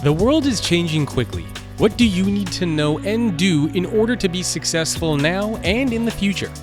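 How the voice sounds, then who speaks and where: A man with a somewhat raised pitch hosts a business podcast in a smooth, promotional way. Soft music plays faintly behind him. He shifts from a scripted intro into easy interview questions. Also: expressive, normal speed. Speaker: podcast host.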